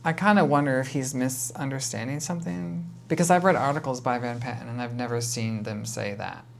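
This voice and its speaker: A man's voice: medium pitch, fairly even intonation, fairly quick, gravelly, slight background noise. A man with an American accent talks as a reaction video host. His delivery is casual and conversational, rambling from point to point with an exasperated edge. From United States.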